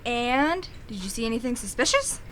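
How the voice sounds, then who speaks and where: Woman playing a high-pitched, blunt detective daughter. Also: big pitch swings, normal speed, background noise. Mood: probing. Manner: sarcastic, no-nonsense questioning. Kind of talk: comic audio drama acting.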